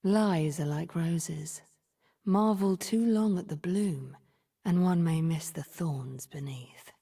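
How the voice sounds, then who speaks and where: A cold, cunning sorceress from a video game. Her low voice is breathy and dark, often sinking near a whisper. She speaks in measured, haughty, theatrical phrases, like someone who is always plotting revenge. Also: full character voice. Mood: haughty, scheming. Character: cunning, imperious deceiver sorceress, cold and vengeful. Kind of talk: video game character voice lines.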